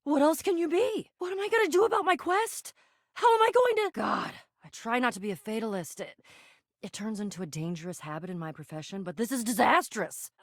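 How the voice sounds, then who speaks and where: A woman voicing an exuberant, pompous adventurer spirit of boxes and containers in a video game, verbose and excitable in a theatrical style. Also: mid-range voice, fairly quick, dry recording, studio quality, lightly acted. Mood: dismayed. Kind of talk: theatrical game character dialogue.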